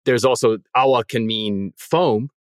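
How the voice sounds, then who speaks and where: A man's voice: mid-range voice, a little slow, no echo, studio quality. A man co-hosting a podcast, explaining things in a methodical, precise way. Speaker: podcast co-host.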